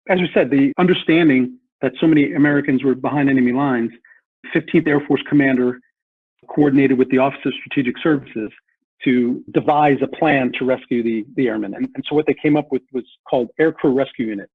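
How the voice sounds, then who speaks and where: A man's voice: mid-range voice, husky, dry recording. A man explaining history earnestly as a podcast guest, often repeating words as he thinks. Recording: tinny phone-like line.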